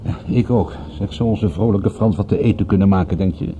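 A man's voice: low voice, brisk, dark tone, slight background noise, lightly acted. An actor playing a wry, observant male private detective who narrates and converses in a dry, sardonic radio-drama style. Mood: casual, wry. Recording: dull, muffled old radio-play recording.

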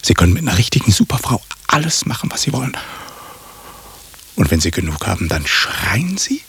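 An actor playing a shady, showy magician in a radio drama, pitching his magic cabinet with a salesman's patter. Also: sing-song, a little fast, a bit bright, exaggerated voice. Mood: showy salesmanship. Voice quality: husky, creaky. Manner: salesman's patter, swooping emphasis.

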